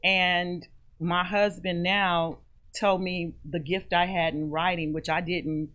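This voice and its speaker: A woman with a fairly low-pitched voice, speaking as a guest on a radio interview. She tells her personal story in an earnest, measured, heartfelt manner. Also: little pitch movement, fairly bright tone. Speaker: guest, author and foundation founder.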